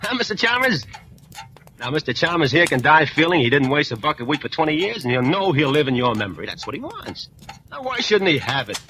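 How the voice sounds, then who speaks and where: An actor playing a pushy, scheming grown son in a 1940s radio drama, talking fast in slangy, wheedling salesman patter. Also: on the high side, sing-song, pushed and bright.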